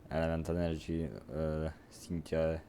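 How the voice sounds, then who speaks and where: Low-voiced Hungarian male streamer. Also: monotone, a little slow, mellow, a little hiss or hum. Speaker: game streamer. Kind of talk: casual live commentary.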